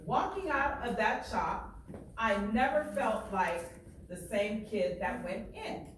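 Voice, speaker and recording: A woman reads aloud like a teacher, explaining and checking for understanding as she goes. Her delivery is unhurried. The recording is heavily reverberant and off the microphone, with faint noise. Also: medium pitch. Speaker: teacher reading a book aloud. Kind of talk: read-aloud with plain explanations and check-in questions. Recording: very echoey room, distant, faint noise.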